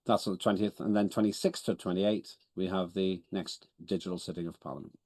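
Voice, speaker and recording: Low-voiced estonian-native guy chairing a video meeting, businesslike, fixes his own slips, dry close sound. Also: fairly even intonation, brisk, a little mellow, no echo. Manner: businesslike, self-correcting, wry. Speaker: chairman of parliament. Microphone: video call.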